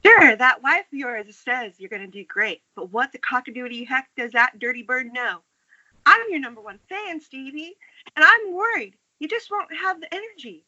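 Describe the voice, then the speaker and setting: An obsessive, menacing female fan. Her voice is high and piercingly sharp, cloying and folksy, heard over a muffled call. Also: lively intonation, normal speed, slightly rough, heavily acted.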